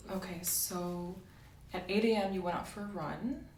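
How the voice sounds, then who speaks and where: A soft-spoken woman doing an ASMR medical roleplay as a doctor, asking methodical questions in a reassuring way. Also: fairly low pitch, moderate pace. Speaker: ASMR creator playing a doctor. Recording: roomy, distant mic, faint hiss.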